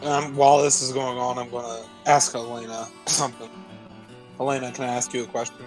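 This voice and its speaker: A man's voice: mid-range voice, level tone, a little fast, music in the background, low-quality recording. A man playing a tabletop roleplaying game, talking casually and recapping events. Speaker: player.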